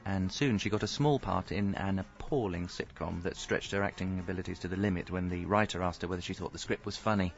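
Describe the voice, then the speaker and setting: Hapless, earnest husband and dad, low voice, dry wry radio comedy delivery, slightly muffled. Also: male voice, fairly quick, creaky, hardly any pauses, faint music behind. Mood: wry narrating. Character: hapless, earnest, self-deluding young father and husband. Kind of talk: radio comedy acting with wry first-person narration.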